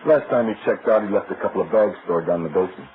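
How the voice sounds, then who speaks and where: A man's voice: sits low, fast talker, natural voice. Man acting a streetwise hotel detective, tinny old radio. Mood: stubborn, dismissive. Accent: American. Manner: slangy, blunt, ungrammatical tough talk. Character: cynical, grudging, streetwise male hotel house detective. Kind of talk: old-time American radio crime drama acting. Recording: thin muffled old radio recording with hiss.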